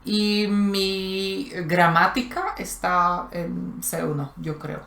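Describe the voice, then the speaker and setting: A woman's voice: fairly low pitch, drawn-out words, fairly bright tone. A woman speaking, co-hosting a casual conversation; she talks thoughtfully and hesitates often. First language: Slovak. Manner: thoughtful, hesitant, many fillers. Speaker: co-host. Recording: echoey room.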